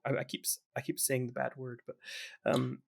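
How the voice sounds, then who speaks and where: A man's voice: mid-range voice, monotone, moderate pace, creaky. A man co-hosting a podcast in casual chat, rambling and often restarting his sentences. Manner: chatty, rambling, frequent restarts. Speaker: podcast co-host.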